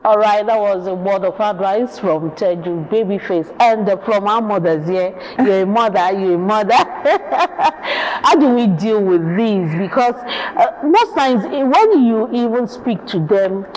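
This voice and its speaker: A woman's voice: mid-range voice, lively intonation, measured pace, darkish tone, poor audio. A woman hosting a TV panel discussion, introducing topics and putting questions to her guests. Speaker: talk show host.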